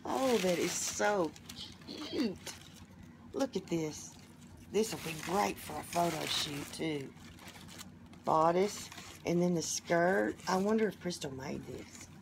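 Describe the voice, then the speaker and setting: A hoarse, middle-aged woman talks slowly and gently, her voice sitting a little low as she coos over each gift. She records from a phone on a table in her living room, with a faint hiss of the room behind her. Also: expressive. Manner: gushing, softly delighted exclamations. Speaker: vlogger opening gifts. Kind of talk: casual unboxing chat.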